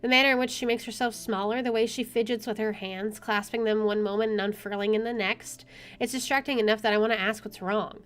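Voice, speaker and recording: A woman's voice: medium pitch, fairly even intonation, a little fast. A woman reading visual novel narration aloud as a game streamer, informally, with offhand asides. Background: game music.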